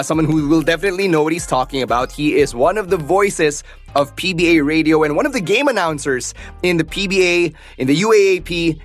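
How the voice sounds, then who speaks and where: A man's voice: a little high, a bit bright. A man with a Filipino accent hosting an interview podcast, articulate and conversational. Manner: articulate, conversational, switches into Tagalog. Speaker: podcast host.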